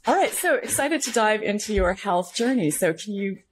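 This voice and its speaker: A woman's voice: medium pitch, animated, normal speed, slight background noise. A woman in her thirties hosting an interview over a video call from her living room, upbeat and keen. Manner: upbeat, enthusiastic, asks open questions. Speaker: host. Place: home living room, indoors. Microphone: video call webcam.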